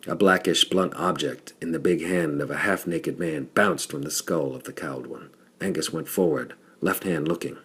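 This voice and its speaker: Very deep husky man reading pulp fiction. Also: normal speed, a bit bright. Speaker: audiobook reader. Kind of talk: scripted narration of pulp science fiction.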